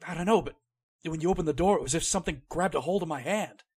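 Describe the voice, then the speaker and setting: Actor as anxious, slightly husky-voiced male employee. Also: on the high side, very fast. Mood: spooked, uneasy. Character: anxious, uneasy male employee searching his employer's gloomy house. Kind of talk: old-time radio drama acting.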